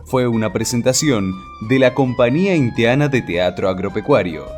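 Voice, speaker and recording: A man's voice: medium pitch, moderate pace. A man with an Argentine accent reading a scripted radio outro as announcer. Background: guitar music.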